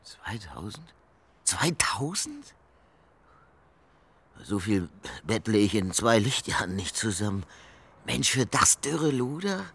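An actor playing a talkative, sly homeless beggar in a radio crime drama, speaking colloquially and theatrically. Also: male voice, lowish voice, big pitch swings, slow, long pauses, a bit bright, slightly rough, full character voice. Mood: wary, agitated.

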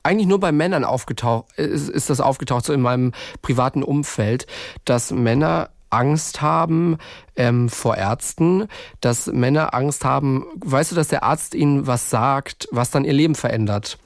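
A man co-hosting a true-crime podcast, talking casually in a halting, reflective way and often repeating phrases. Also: mid-range voice, gravelly, no echo, studio quality. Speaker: podcast co-host.

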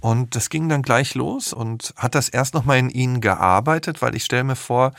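A male interviewer poses gentle, formal questions in a gravelly, creaky voice. The recording is close and dry with no room sound. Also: medium pitch, normal speed, dry recording.

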